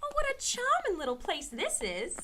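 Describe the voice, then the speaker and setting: Very high, theatrical young woman, played by an actress. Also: huge pitch swings, moderate pace, pushed and bright, slight background noise, heavily acted. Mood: gushing. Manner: gushing, melodramatic. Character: gushing, theatrical young nurse, secretly a murderess. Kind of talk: old-time radio murder mystery acting.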